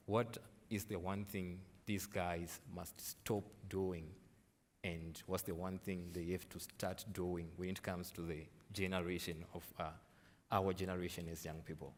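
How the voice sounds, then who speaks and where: A man moderating a live panel in a conference hall, lively as he calls on audience members. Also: sits low. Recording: echoey hall, faint crowd noise.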